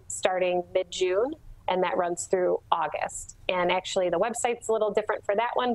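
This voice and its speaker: A woman's voice: medium pitch, poor audio. A middle-aged woman giving event information as an interview guest over a video call on an earbud mic. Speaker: interview guest, shopping district representative. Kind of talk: informational interview talk about local events. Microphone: earbud headset on a video call.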